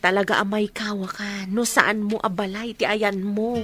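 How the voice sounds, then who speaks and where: A woman's voice: mid-range voice, little pitch movement, normal speed, over music, close to an everyday voice. An actress playing a sympathetic, inquisitive village woman in an Ilocano radio drama, with an Ilocano accent.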